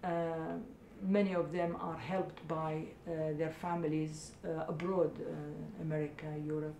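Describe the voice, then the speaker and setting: A woman's voice: level tone, unhurried, creaky, mellow. A middle-aged woman from Iraq answering interview questions about her charity, speaking earnestly with many hesitations. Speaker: charity founder. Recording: echoey room with faint rumble.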